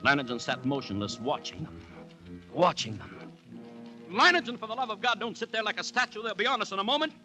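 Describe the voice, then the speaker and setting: A man acting an anxious commissioner who narrates a 1940s radio drama in a measured, dramatic way full of dread. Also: high voice, big pitch swings, sharp tone, soft background music, heavily acted. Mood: alarmed. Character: anxious male government commissioner recounting a dreadful siege. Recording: restored 1940s radio broadcast.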